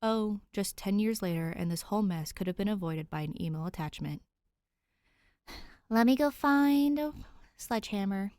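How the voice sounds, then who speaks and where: A woman's voice: mid-range voice, expressive, normal speed, a little mellow, no echo, studio quality, pitched above the performer's own voice, close to an everyday voice. Woman as a sardonic, grumbling runaway heroine. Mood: exasperated sarcasm.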